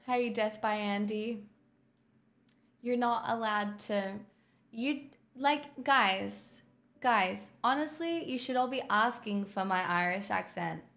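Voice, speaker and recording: A woman's voice: mid-range voice, slow pace, fairly bright tone. A young woman streamer chatting casually and rambling to her viewers. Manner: chatty, rambling, talks to chat.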